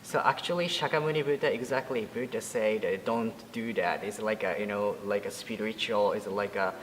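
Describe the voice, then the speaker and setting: Japanese-accented young man, halting answers. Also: lowish voice, in a large hall, a little hiss or hum, poor audio. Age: 20s. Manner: halting, many fillers and restarts. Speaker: Buddhist priest. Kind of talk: interview answers.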